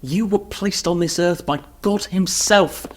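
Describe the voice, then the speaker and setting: Husky, pompous senior knight. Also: male voice, high voice, unhurried. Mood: rousing. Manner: brusque, grave, declamatory. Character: stern, exasperated senior knight, loyal and pompous. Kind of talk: radio play comedy acting.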